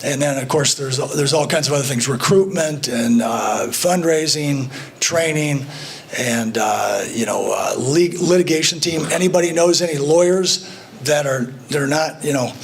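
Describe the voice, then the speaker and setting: Guy at a club meeting asking for volunteers, bit higher voice, lots of uhs. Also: gravelly. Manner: plain, halting, many fillers. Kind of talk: casual public talk at a meeting.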